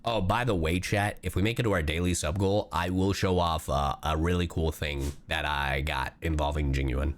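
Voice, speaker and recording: A man streaming a video game live, chatting casually in an animated, exclamatory way with some swearing. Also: very deep voice, moderate pace, hardly any pauses. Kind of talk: casual livestream chat and game reactions.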